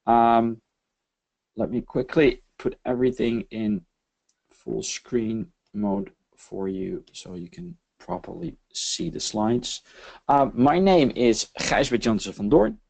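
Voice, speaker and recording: A man from the Netherlands with a Dutch accent, presenting a technical webinar over slides and speaking haltingly, with many fillers and repeated words. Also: mid-range voice, animated, gravelly, a little mellow. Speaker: webinar presenter, systems engineering lead.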